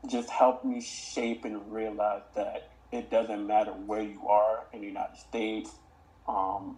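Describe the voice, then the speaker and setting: A man in his twenties, born in Uganda and raised in the Washington DC area, speaking as a podcast guest in a measured, reflective way with frequent "um"s. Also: fairly low pitch, darkish tone, rough recording. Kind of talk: casual interview talk.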